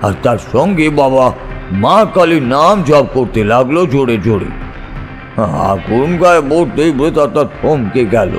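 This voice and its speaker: A man narrating a scripted ghost story in a suspenseful, expressive, dramatic manner. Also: mid-range voice, unhurried, soft background music.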